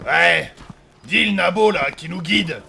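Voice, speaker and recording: A man playing a brash, drunken, brawling pirate captain with a gravelly voice in a comic fantasy audio drama. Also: high-pitched, lively intonation, measured pace, bright, forceful tone, faint music behind, heavily acted. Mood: surly, insulting. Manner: brash, insulting, slangy. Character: gruff, foul-mouthed, brawling drunken male pirate captain.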